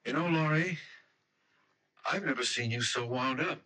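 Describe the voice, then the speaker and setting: An actor playing a protective, skeptical young fiancé in a radio mystery, with colloquial, emphatic delivery. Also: male voice, mid-range voice, moderate pace, sharp tone. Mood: skeptical, teasing. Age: young adult. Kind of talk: radio mystery drama acting.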